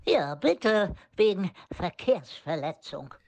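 A man's voice: high-pitched, very animated, slow, vocal fry, dull audio, voice pushed up, full character voice. A man voicing a flustered, indignant motorist in a hurry in a radio play.

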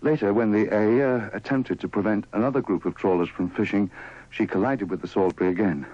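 A man's voice: fairly low pitch, brisk, dark tone, no room sound. A British man reading the radio news in a formal style. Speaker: radio newsreader. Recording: dull, dry old radio broadcast.

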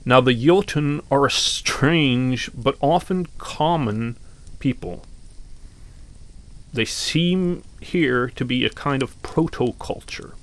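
Slow, gravelly-voiced Norwegian man. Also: medium pitch. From Norway. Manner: slow and deliberate, with pauses and some fillers. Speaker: podcast host. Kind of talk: reflective commentary on mythology.

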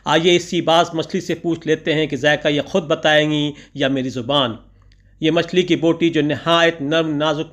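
A man narrating his weekend outing in a voice-over, with flowery phrasing, recited couplets and words of praise. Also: a little high. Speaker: vlogger narrating a food outing.

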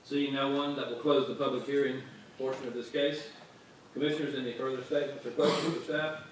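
A man's voice: medium pitch, flat delivery, a little fast. Man, procedural chair, reverberant hall, faint noise. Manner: formal, procedural. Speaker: commission chairman. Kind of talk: chairing a public hearing. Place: large council chamber. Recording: echoey hall, mic far off.

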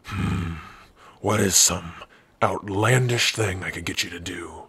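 A man playing a big, gruff orc with a rough, husky voice, teasing yet secretly soft-hearted, in an ASMR roleplay. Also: low voice, takes it slowly, dry recording, full character voice. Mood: gruff, wry. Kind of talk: ASMR roleplay addressed to the listener.

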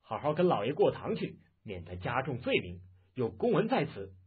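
A man voicing a blustering army officer who barks orders loudly, in lo-fi phone-like audio. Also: medium pitch, very animated, brisk, slightly rough. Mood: barking. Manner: loud, barking orders.

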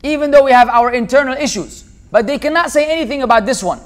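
Man, 30s, American-sounding accent, very high voice, animated lecture, tabletop mic in a hall. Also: quick pace. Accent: American-sounding English. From Lebanon. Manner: animated, gesturing with both hands, acts out quoted people. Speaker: Islamic lecturer. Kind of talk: public lecture. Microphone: small tabletop mic on a tripod.

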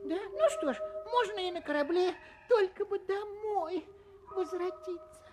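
Very high-pitched little cartoon grasshopper. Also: a little slow, slight background noise. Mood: curious, hesitant. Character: small homesick grasshopper, polite and curious. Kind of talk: children's musical audio fairy tale acting.

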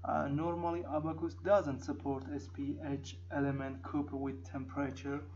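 A man with a non-native English accent narrates an unscripted screen-recorded software tutorial in halting, hesitant phrases. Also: on the high side, level tone. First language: not English. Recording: dull lo-fi mic, faint background noise.

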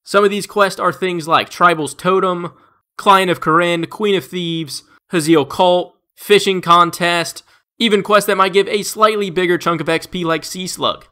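A man with a stuffed-up nose narrates a game tips video from home. His voice sits high and sounds bright and forceful, with an upbeat, steady delivery from one tip to the next. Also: moderate pace. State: stuffed up, congested. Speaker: gaming video creator. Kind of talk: scripted game tips voice-over.

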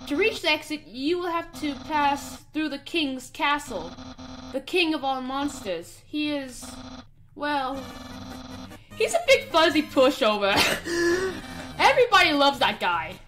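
A woman's voice: high-pitched, lively intonation, takes it slowly, fairly bright tone, faint music behind, pitched below the performer's own voice, exaggerated voice, rough recording. A woman voicing a boastful, friendly and naive male skeleton from a game, announcing things theatrically.

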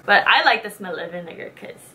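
A woman's voice: mid-range voice, lively intonation, fast, pushed and bright, a little hiss or hum. A woman in her twenties chatting casually through a beauty tutorial, bubbly and slangy, swearing casually, in a small indoor studio. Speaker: beauty vlogger.

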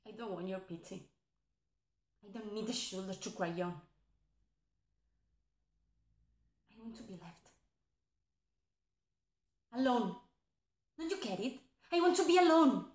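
A woman's voice: high voice, big pitch swings, unhurried, long pauses. An actress playing a heartbroken young woman being dumped who feigns indifference, in a theatrical monologue. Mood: hurt, defensive. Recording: echoey, roomy sound.

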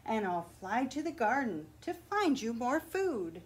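A woman voicing a tired, patient mother bird who speaks in soft, soothing coos. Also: fairly high pitch, sing-song, measured pace. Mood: weary, tender.

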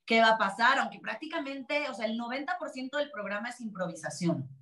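Lively mexican-accented woman, catalan native, bit high voice, roomy echo on a video call. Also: a little fast, crowd noise. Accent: Mexican Spanish. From Spain. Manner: chatty, animated, many restarts. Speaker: interview guest, TV presenter. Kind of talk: casual interview chat. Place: small room, video call.

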